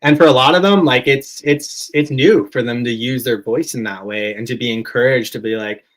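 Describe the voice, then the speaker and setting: A man's voice: medium pitch, moderate pace. Earnest man chatting on a call. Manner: earnest, warm, lots of "like" fillers. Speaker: musician guest. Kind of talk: casual interview chat.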